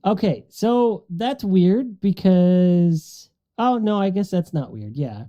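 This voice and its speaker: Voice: mid-range voice, expressive, slow pace, mellow, dull audio. A podcast co-host talking casually in a group chat.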